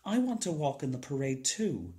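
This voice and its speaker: A man's voice: fairly high pitch, huge pitch swings, normal speed, dark tone. A man voicing an eager, stubborn small boy in a storybook, proud and cheerful. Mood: indignant. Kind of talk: storybook character voice.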